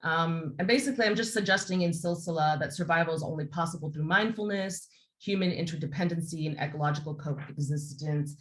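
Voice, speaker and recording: A woman's voice: low voice, a little fast. A woman artist presenting her work on an online panel over a video call, in an earnest, reflective tone.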